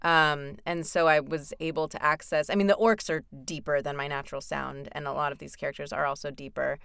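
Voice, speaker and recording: A woman's voice: sits low, fairly even intonation, fairly quick, vocal fry, a bit bright, clean tone, no echo, studio quality. A woman voice actor, talking casually as an interview guest, chatty with frequent fillers.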